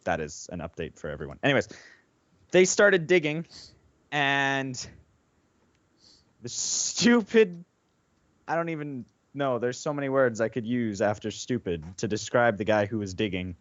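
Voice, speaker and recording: A man with an average-pitched voice tells a story casually as a podcast co-host. The recording is dry with little room sound, and it is muffled and lo-fi. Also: big pitch swings, measured pace, pauses often, no room sound. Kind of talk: casual storytelling among friends.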